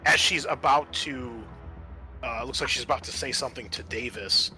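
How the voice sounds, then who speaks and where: A man narrating a tabletop roleplaying game as its game master, halting as he thinks aloud. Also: medium pitch, bright, forceful tone, soft background music, poor audio.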